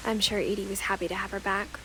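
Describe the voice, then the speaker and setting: A woman's voice: mid-range voice, level tone, fast talker, noisy background, close to an everyday voice. A woman voicing a wistful young narrator. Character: young woman recalling her family, wistful. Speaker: game narrator. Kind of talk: scripted game narration.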